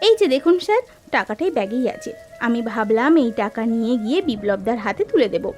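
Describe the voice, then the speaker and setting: A woman plays an eager young village woman in a cartoon, with a high voice that swoops up and down. She speaks respectfully, and soft music plays underneath. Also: very animated, quick pace. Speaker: village woman collecting funds.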